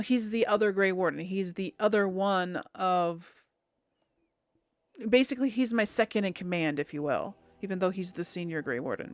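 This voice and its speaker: A woman giving casual let's play commentary, chatting and thinking aloud as she goes. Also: medium pitch. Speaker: gaming channel host.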